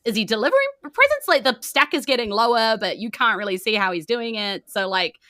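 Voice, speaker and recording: Bright-voiced New Zealand woman running a game. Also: fairly high pitch, fairly quick, no echo, clean studio sound. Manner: animated, informal, colloquial. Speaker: game master. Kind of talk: improvised tabletop game narration.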